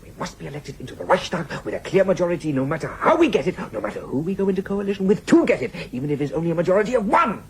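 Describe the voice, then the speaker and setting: A man's voice: high-pitched, big pitch swings, rapid-fire, few pauses, a little hiss or hum. An actor playing an ambitious, commanding male party leader in an emphatic, declamatory radio drama style. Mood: rousing.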